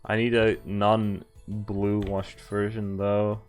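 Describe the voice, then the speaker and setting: Man, lowish voice, game streamer, casual read-aloud narration, amused asides, music in the background. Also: completely flat delivery, takes it slowly. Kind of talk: reading visual novel text aloud with casual live commentary.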